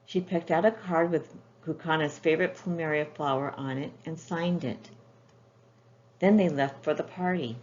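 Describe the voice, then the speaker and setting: A woman's voice: deep voice, steady tone, normal speed, darkish tone. A woman reading scripted children's storybook narration as its author. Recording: echoey room, dull sound, faint noise.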